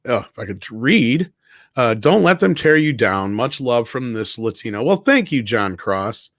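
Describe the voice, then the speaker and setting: A man's voice: mid-range voice, big pitch swings, unhurried, a bit bright, dry recording. Casual, sweary man with a dry, close sound. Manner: informal, sweary, rambling. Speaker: co-host of an online panel show. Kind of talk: casual livestream chat, reading viewer comments.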